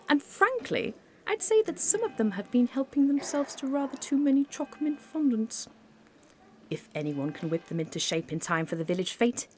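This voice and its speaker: A woman's voice: medium pitch, a little mellow, slight background noise, close to an everyday voice. A woman playing a pompous, exacting 1940s village dance organiser in a theatrical, emphatic radio play monologue. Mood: exasperated disdain.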